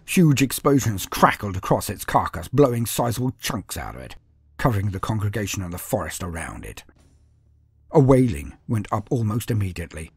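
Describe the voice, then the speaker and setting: A solemn space marine, played by a male storyteller, recounts battle in a low, badly roughened voice. He is martial and full of disdain, in a dark audio story. The recording is dry and close. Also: dry recording. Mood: grave, intense. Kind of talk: first-person dramatic storytelling.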